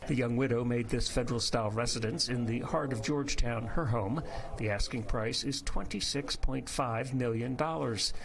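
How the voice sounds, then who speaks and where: A man's voice: mid-range voice, moderate pace, vocal fry. A man narrating a scripted TV news report.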